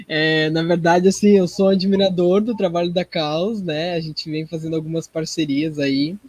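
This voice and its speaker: A man's voice: fairly high pitch, normal speed, darkish tone, no echo. A man with a southern Brazilian accent from Rio Grande do Sul, chatting casually as an actor being interviewed.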